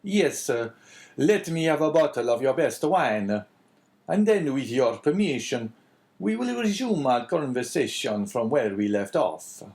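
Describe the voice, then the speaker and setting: A man's voice: mid-range voice, very animated, darkish tone, pitched above the performer's own voice. A man acting an Italian-accented priest, formal and probing. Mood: polite, commanding. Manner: formal, deliberate, inquisitive. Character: courteous, probing Italian priest, grave and deliberate.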